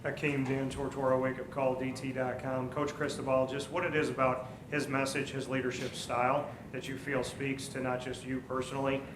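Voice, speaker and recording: A male sports reporter asking a measured question. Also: mid-range voice, monotone, noisy background. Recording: distant, echoey press room.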